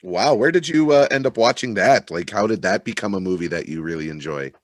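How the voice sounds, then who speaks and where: Very deep-voiced man, dry sound. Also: expressive, fairly quick, no room sound. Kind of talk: casual chat on a radio show.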